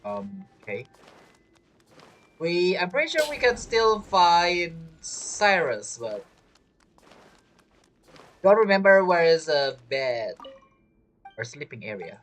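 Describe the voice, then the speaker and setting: A man's voice: on the high side, very animated, takes it slowly, long pauses, fairly bright tone. A man giving casual, slangy, jokey commentary as he plays a video game. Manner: jokey, acts out imagined quotes, slangy. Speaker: game streamer.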